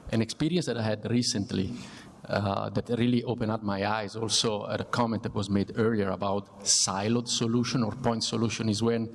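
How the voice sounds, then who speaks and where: A man with an Italian accent speaks as a panelist in a semi-formal discussion. His voice is fairly low. It carries long room reverb over faint background noise. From Italy. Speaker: panelist, chief information officer. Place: event room before an audience.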